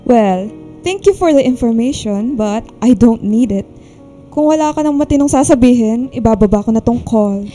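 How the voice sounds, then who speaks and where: A woman's voice: a little high, animated, moderate pace, mellow, faint music behind, lightly acted. An actress playing a burned-out woman in her twenties, polite but feisty when provoked, in a Filipino radio drama. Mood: irritated, defiant.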